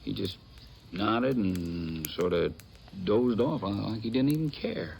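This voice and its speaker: A man's voice: lowish voice, expressive, slow, creaky, darkish tone, heavily acted. An actor playing an earnest, flustered frontier townsman in a 1950s radio western, stumbling and repeating himself in folksy speech. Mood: troubled, puzzled. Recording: noisy old radio recording.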